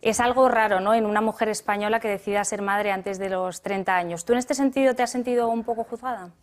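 A woman hosting an interview, asking brisk, leading questions. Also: medium pitch, steady tone. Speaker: interview host.

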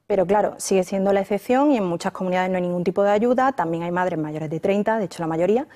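Woman with a mellow voice, musing aloud. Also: medium pitch, fast talker. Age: around 30. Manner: thoughtful, with frequent fillers and restarts. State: pregnant. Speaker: interview guest, journalist. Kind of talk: conversational interview answers.

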